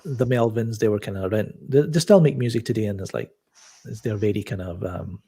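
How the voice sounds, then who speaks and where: Soft-spoken man, husky and mellow. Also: fairly low pitch. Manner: soft-spoken, halting, many restarts. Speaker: podcast guest. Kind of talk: casual chat.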